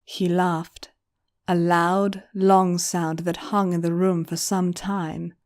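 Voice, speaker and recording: A woman's voice: fairly low pitch, flat delivery, slow pace, darkish tone, no room sound, clean studio sound. A woman reading scripted story narration as an audiobook narrator.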